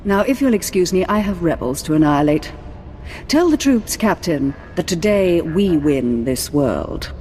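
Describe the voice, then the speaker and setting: An actress playing a commanding, seductive Sith lord in a video game, speaking in a measured, imperious way. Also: female voice, lowish voice, animated, a little mellow, a little hiss or hum. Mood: imperious, satisfied. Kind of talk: dramatic video game acting. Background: background music.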